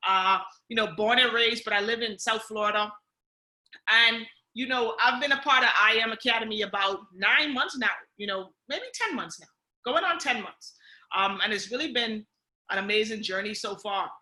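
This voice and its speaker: Bahamian woman, team leader, bright forceful voice, pitched a little high, repeats key points, echoey room. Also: normal speed. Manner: upbeat, coaching, repeats key points, frequent "okay?" and "guys". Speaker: team leader running a training call. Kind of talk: motivational training call.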